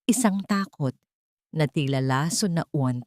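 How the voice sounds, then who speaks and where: A woman's voice: sits low, lively intonation, measured pace, a little mellow, no room sound, studio quality. A woman storyteller reading a scripted dramatic story as narration.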